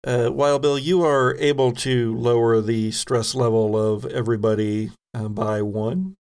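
Creaky-voiced man running a tabletop game. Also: mid-range voice, fairly even intonation, measured pace, darkish tone. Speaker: game master. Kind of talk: improvised narration of a tabletop game.

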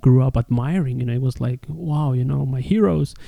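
A man's voice: medium pitch, moderate pace, creaky, dark tone, dry recording. A man from Ecuador hosting a casual podcast interview in an enthusiastic, chatty way.

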